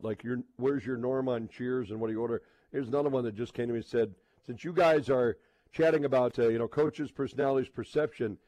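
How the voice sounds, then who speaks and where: A man hosting a casual sports radio talk show, speaking in a halting, repetitive way and often restarting his sentences. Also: mid-range voice, mellow, no echo, poor audio.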